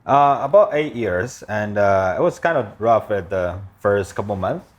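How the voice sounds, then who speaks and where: A man from China talks in English, his second language, with a Chinese accent. His voice sits a little low, and his phrases come in short, simple pieces with frequent pauses and fillers. He sounds like a guest in a relaxed interview. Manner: plain, slightly broken English with frequent "uh" and "you know". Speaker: guest, land investor. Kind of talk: casual interview.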